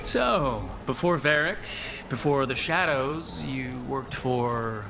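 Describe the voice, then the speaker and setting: An actor playing an earnest, measured hero in a fantasy video game. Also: male voice, mid-range voice, animated, gravelly, over music. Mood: conversational.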